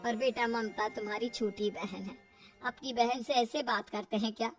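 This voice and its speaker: A woman's voice: on the high side, fairly even intonation, moderate pace, faint music behind, natural voice. A woman voicing a middle-aged mother, a firm and concerned peacemaker who chides and reasons. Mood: placating. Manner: chiding, reasoning.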